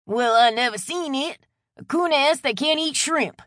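A woman's voice: sits high, a little slow, a bit bright, dry recording, studio quality, voice pushed up. A woman voicing a friendly old Cajun man with a folksy Louisiana drawl in an audiobook. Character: friendly old Cajun man, weathered bait-shop regular. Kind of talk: audiobook character voice.

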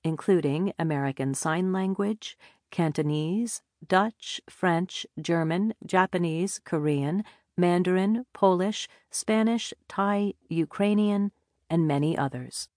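A woman narrating a nonfiction audiobook in a measured, deliberate reading style on a close studio mic. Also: fairly low pitch, a little mellow, no room sound.

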